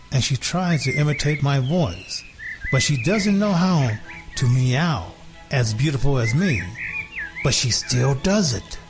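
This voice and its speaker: A man voicing a smug, possessive pet cat in a children's story, telling it deliberately with pauses. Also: fairly high pitch.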